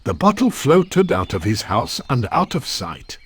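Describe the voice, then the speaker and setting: A man narrating a children's story from a script in an expressive storytelling manner. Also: mid-range voice, measured pace, husky, no room sound.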